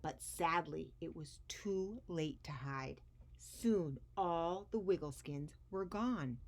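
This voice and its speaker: A woman's voice: low-pitched, expressive, slow. A woman hosting a story time for young children, speaking expressively as she shares a picture book.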